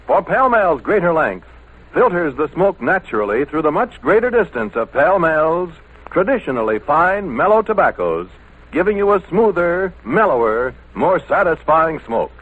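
A man's voice: fairly high pitch, big pitch swings, normal speed, slightly rough. An American man announcing a 1940s radio commercial with emphatic, polished salesmanship. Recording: tinny old radio broadcast.

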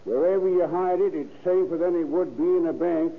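An actor playing a plain-spoken, rustic American miner in an old radio drama. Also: male voice, a little high, fairly quick, lightly acted. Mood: mildly exasperated. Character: easygoing, folksy older miner, a loyal partner. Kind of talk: 1940s radio acting. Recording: thin, narrow-band old radio recording.

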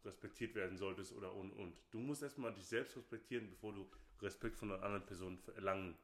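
A man's voice: lowish voice, fast, a bit bright. A man from Nigeria, a podcast co-host talking casually and emphatically, often repeating himself. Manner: emphatic, repeats phrases, often says "okay, hey". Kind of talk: casual opinionated chat. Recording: roomy echo.

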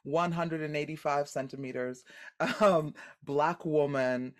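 Deep-voiced woman, animated and expressive. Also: unhurried. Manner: animated, expressive, laughs often. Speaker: guest actor. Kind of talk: casual podcast interview.

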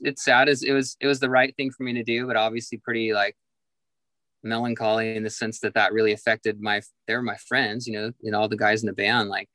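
A man in his mid-forties opens up in an interview, looping back on himself with "like" and "you know" as he works through his thoughts. His voice sits fairly low but has a bright, forward edge. The sound is dry and close, with almost no room around it. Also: dry recording. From Southern California. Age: 40s. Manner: reflective, many fillers and restarts. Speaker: musician guest. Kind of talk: candid interview conversation.

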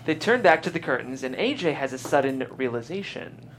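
A man narrates a script at a table read, delivering stage directions with a lively, swooping pitch. The voice sits in a middling register, with faint noise behind it. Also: brisk.

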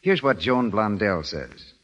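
Low, animated 1930s radio announcer man. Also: unhurried, highs cut off. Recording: reverberant 1930s radio broadcast.

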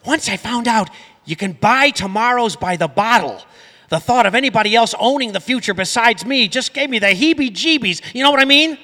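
A greedy, eager moneybags in a comic stage radio play, folksy and excitable. His voice is very high and bright, with the long reverb of a hall around it. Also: brisk, full character voice. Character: greedy, pushy, eager rich buyer. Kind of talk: comic audio theatre acting before a live audience.

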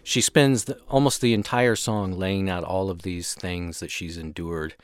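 A man's voice: sits low, fairly even intonation, a little slow, smooth, no room sound, studio quality. A man co-hosting a music show, talking casually and thoughtfully, sometimes searching for his words.

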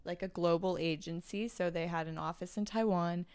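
A woman's voice: fairly low pitch, steady tone, moderate pace, darkish tone, clean tone. A young woman from China, a guest on a casual chat podcast, who talks in a chatty way, giggling often and filling gaps with ums.

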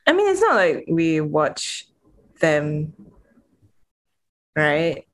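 A woman's voice: low-pitched, wildly swooping pitch, drawn-out words. A young woman from Singapore, co-hosting a podcast with dry, understated remarks. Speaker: podcast co-host. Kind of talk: casual chat.

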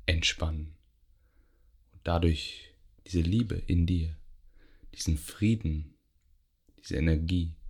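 A man guiding a yoga nidra meditation in a gentle, unhurried way, pausing between short phrases. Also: rumbling low, husky. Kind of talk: guided meditation, soothing relaxation instruction.

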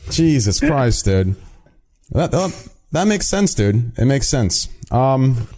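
Male podcast host, late twenties, excitable, casual chatter. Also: medium pitch, slow pace, a little hiss or hum. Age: 20s. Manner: excitable, says dude a lot. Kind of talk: casual group chat.

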